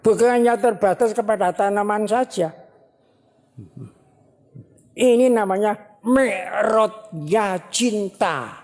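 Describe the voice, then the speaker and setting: A woman religious teacher giving a sermon at a ceremony, speaking slowly and repeating phrases for emphasis. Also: medium pitch, darkish tone. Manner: slow, repetitive, emphatic preaching. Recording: long hall reverb.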